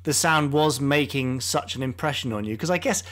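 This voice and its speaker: A man's voice: mid-range voice, normal speed, a bit bright. A man hosting a podcast, interviewing his guest in a casual, conversational style.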